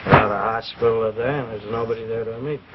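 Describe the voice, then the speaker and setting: A man's voice: on the low side, fairly even intonation, fast, natural voice. Man acting grumbling american husband in an old tv drama, sour and curt, muffled noisy sound. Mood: accusing. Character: bitter, sullen working-class husband, quarrelsome. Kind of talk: 1950s live TV drama acting. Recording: dull, noisy 1950s TV kinescope.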